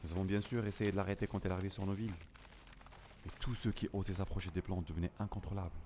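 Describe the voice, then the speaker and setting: A man's voice: sits low, little pitch movement, quick pace, warm tone, a little hiss or hum, lightly acted. A man playing a lonely, grieving survivor of a plant apocalypse, narrating a first-person audio drama in a somber, melancholic, dramatic way. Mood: grave, ominous.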